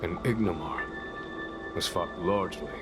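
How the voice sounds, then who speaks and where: A grave general in a story-driven game, with a very low voice. He speaks formally and solemnly, measuring each phrase as he tells of old legends over clear background music. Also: a little slow. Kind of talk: scripted dramatic game monologue.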